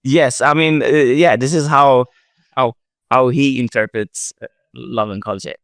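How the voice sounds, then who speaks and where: Halting man, smooth clear voice. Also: medium pitch, steady tone, no echo, clean studio sound. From Sweden. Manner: halting, many restarts and fillers. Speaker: art project creator, writer-director. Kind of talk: casual live audio chat, answering questions.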